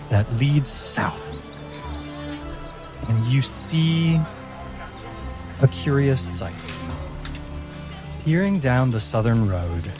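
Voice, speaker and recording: A man working as game master, narrating a fantasy tabletop game in a dramatic, deliberate style with suspenseful phrasing. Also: medium pitch.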